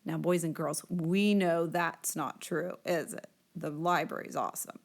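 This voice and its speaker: A woman reading a picture book aloud to children in an expressive storytelling style. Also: sits low, measured pace.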